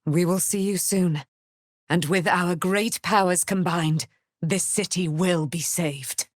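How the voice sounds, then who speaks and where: An actress playing a proud, wrathful immortal warrior, daughter of a moon goddess, declaiming in grand archaic speech for a fantasy game. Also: female voice, fairly low pitch, takes it slowly, dry recording, clean studio sound. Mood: solemn, grave. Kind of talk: theatrical fantasy game voice acting.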